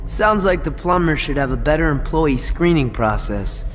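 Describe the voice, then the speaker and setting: A man's voice: fairly high pitch, lively intonation, normal speed, close to an everyday voice. A man playing a cocky, sarcastic, antisocial teenage tough guy in a video game cutscene, with a brash, sardonic manner. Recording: reverberant, loud music behind.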